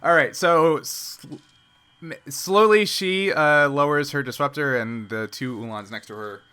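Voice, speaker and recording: A man acting as game master, casually narrating a tabletop roleplaying game in a halting, conversational way. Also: fairly high pitch, sharp tone, clear, resonant tone.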